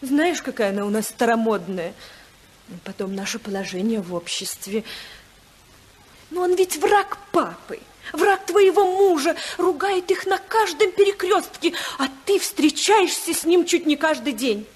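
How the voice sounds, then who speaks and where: Very high-voiced, fretful young society lady. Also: animated, measured pace. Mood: nervous, hesitant. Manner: fretful, hesitant. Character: anxious, naive, prim younger sister from high society. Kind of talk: 1950s Soviet radio play acting. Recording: 1955 radio drama recording.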